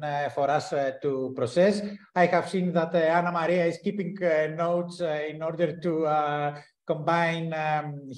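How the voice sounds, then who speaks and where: A man moderating a webinar, halting with many fillers. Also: a little high, darkish tone, reverberant.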